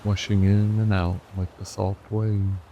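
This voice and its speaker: A man with a very deep, dark, mellow voice guides a sleep meditation, speaking slowly in a soothing, hushed way. The sound is a little dull and lo-fi, with faint natural ambience of waves and water behind him. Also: flat delivery, slight background noise. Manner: slow, soothing, hushed delivery. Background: soft ocean waves and stream.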